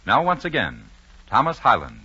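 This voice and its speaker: A man's voice: medium pitch, wildly swooping pitch, normal speed, sharp tone, heavily acted. A man as a grand 1950s radio announcer with a portentous, declamatory delivery. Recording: dull, husky vintage radio broadcast.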